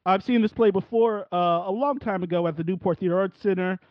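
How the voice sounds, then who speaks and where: A man's voice: sits high, fairly quick, no room sound. A man hosting an interview podcast, asking rambling questions that he restarts often. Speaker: podcast host. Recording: dry, dull lo-fi audio.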